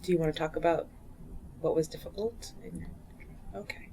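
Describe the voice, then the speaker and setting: A woman interviewer gently asking questions in an oral history interview. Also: low voice, fairly even intonation, brisk, a little hiss or hum, low-quality recording. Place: community center room.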